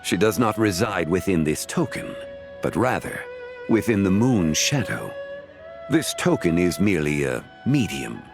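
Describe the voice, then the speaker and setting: A man playing a calm, learned, courteous scholar of ancient lore in a video game, speaking in a formal, measured, eloquent way. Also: deep voice, gravelly, music in the background, full character voice. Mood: composed, explanatory. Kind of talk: video game dialogue acting.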